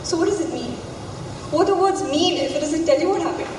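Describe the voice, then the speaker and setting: Stage play: actress as defiant, hurting college girl, high-pitched, far from the mic in a ringing hall. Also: brisk, background noise. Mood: anguished. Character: wounded, defiant young female college student. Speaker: stage actor. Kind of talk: student stage drama acting. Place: theatre stage, recorded from afar. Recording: echoey hall, far mic, background music.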